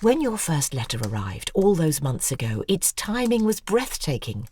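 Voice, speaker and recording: An actress playing a bitter, imperious older novelist in an audio drama, with a theatrical, insistent delivery. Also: female voice, sits low, sing-song, normal speed, warm tone, slight background noise. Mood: candid, sardonic.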